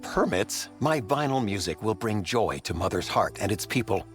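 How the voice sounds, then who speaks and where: A man's voice: lowish voice, animated, moderate pace, fairly bright tone, faint music behind. An actor playing an eccentric, self-important male scholar and DJ in a game, with a wordy, grandiose showman's delivery. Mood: grand, indignant. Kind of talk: animated game voice acting.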